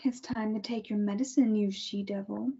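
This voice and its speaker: A man putting on a menacing high-voiced madwoman. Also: steady tone, moderate pace, gravelly, dark tone, slightly rough, exaggerated voice. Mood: taunting. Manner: menacing, taunting. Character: deranged woman attacking with a syringe. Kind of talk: table read of a stage play. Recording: muffled lo-fi recording.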